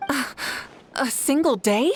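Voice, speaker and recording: A woman's voice: high-pitched, expressive, takes it slowly, a little hiss or hum. A woman playing a composed, gracious warrior leader in a video game, courteous and self-assured. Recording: music behind the voice.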